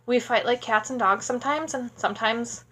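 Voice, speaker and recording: A woman's voice: on the high side, normal speed, a bit bright, husky. Chatty sleepy woman in her thirties. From Wisconsin. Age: 30s. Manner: rambling, chatty, many fillers. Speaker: vlogger. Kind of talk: casual Q&A vlog. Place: small home room indoors. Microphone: tablet camera at close range.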